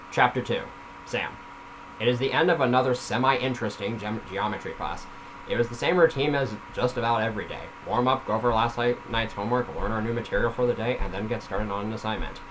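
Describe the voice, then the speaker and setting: A man's voice: lowish voice, noisy background. A man reading a story aloud casually, with informal self-critical asides off the script. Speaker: reader of their own story.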